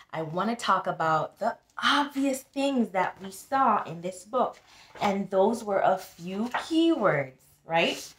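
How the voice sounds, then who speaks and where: A woman tutor reads a picture book to small children in an echoey room. Her voice is on the low side and swings expressively in pitch. She goes very slowly and breaks off to explain things directly to her young listeners. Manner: animated, instructive, addressing young children directly. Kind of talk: children's read-aloud with teaching asides.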